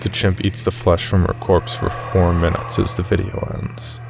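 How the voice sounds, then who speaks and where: A man narrating a scripted horror story in a measured, matter-of-fact way. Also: sits low, level tone, creaky, over music.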